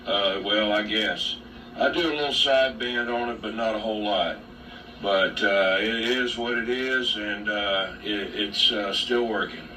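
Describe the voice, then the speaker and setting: A man chatting casually over a CB radio, halting and understated as he talks. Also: lowish voice, bright, forceful tone. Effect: transmitted over CB radio. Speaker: radio owner. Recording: distant, noisy CB radio transmission.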